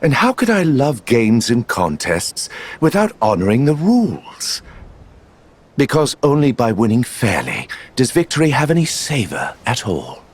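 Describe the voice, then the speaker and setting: An actor playing a suave, calculating gambler villain in a video game, with a genteel, smugly theatrical manner. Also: male voice, mid-range voice, huge pitch swings, unhurried, slightly rough, heavily acted. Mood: suave, playful. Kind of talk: video game cutscene acting.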